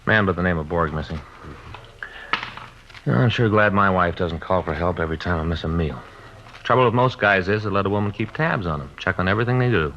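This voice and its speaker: An actor playing a plain-spoken, slightly grumbling police detective in an old radio drama, with a casual, routine manner. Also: male voice, low-pitched, lively intonation, fast, a bit bright. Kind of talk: 1950s radio drama acting. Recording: dull, faintly noisy old radio recording.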